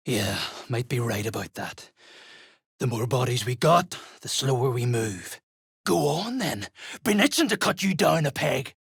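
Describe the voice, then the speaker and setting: An actor playing a gruff, threatening duergar mercenary in a fantasy game, husky and gravelly, talking in coarse, blunt bursts. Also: male voice, a little high, a bit bright, dry recording, studio quality, exaggerated voice. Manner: coarse, blunt, barking orders. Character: gruff male duergar mercenary clan fighter, surly and threatening. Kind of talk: fantasy game character acting.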